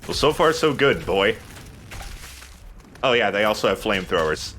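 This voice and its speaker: A man's voice: on the low side, sing-song, normal speed, fairly bright tone, a little hiss or hum. An enthusiastic man giving casual let's play commentary as he plays a video game. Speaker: let's play host and player.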